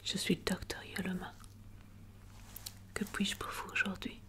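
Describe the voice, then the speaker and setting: A woman plays an attentive doctor in a medical ASMR roleplay. She whispers softly in a fairly flat, unvarying tone. Her delivery is gentle and reassuring, with hesitant pauses between phrases. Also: medium pitch. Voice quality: soft, breathy whisper.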